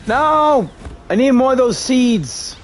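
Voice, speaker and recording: A man's voice: very high-pitched, lively intonation, takes it slowly, slight background noise, full character voice. A man voicing a quippy young adventurer who talks casually. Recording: game audio with music.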